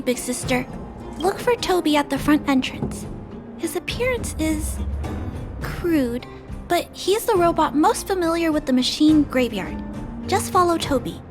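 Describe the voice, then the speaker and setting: Soft, high-voiced little girl. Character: gentle, earnest little girl who cares about robots. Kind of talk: video game voice acting. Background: game background music.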